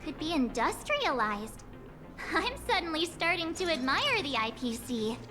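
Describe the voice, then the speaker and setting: A woman's voice: sits high, sing-song, a little slow, over music. A woman voicing a bubbly, teasing young girl adventurer in an anime game. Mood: wide-eyed admiration.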